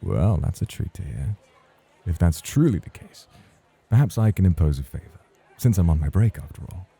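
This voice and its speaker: A man plays a charming, teasing club champion in a romantic ASMR roleplay. His voice is extremely low, very dark and husky. He talks in a formal, courtly way. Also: expressive, normal speed, full character voice. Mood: teasing, polite. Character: suave, teasing champion male club host, smoothly charming.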